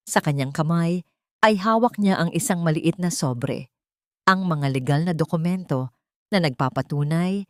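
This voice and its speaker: Lowish female narrator reading a dramatic love story, measured storytelling, pretty dry sound. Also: a little mellow, no echo.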